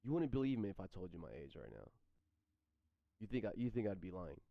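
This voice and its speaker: Man, thirties, low voice, halting stream chatter full of restarts; dry, dull home-mic sound. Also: very animated, creaky, no echo. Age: 30s. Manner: halting, repeats words and restarts often. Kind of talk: rambling stream chat answering chat questions.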